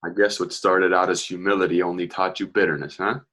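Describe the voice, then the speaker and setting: A man's voice: deep voice, flat delivery, a little fast, fairly bright tone. An actor playing a proud, ambitious, earnest young working man in a dramatic play reading. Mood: defiant.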